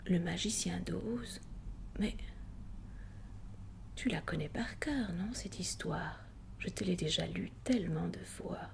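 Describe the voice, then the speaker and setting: A woman whispering in an ASMR roleplay as a gentle, indulgent adult reading a child a bedtime story, soft, unhurried and tender. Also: lowish voice, a little hiss or hum, exaggerated voice. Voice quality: whispered, breathy with creaky edges.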